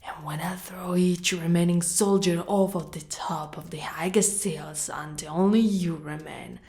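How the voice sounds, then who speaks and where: A woman voicing a proud, fiercely protective female dragon knight in an ASMR roleplay, speaking deliberately and often breathy or whispered. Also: lowish voice, mellow, soft background music. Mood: cold menace. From Italy.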